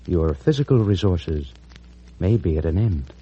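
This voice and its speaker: A man narrating a vintage radio serial in a measured, dramatic manner. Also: deep voice, very animated. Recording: dull, noisy old radio recording.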